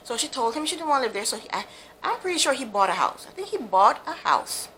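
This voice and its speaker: A woman's voice: a little high, sing-song, quick pace, bright, forceful tone, slight background noise. A woman from Jamaica giving a chatty, frank, opinionated recap of a reality show, with plenty of ums and asides. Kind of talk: casual monologue, opinionated recap.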